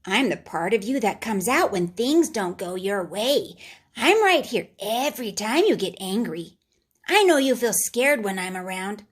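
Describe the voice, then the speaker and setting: A woman voicing a friendly, hairy red creature that is a child's anger, speaking softly and coaxingly in a storybook read-aloud. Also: mid-range voice, very animated, a little slow, husky.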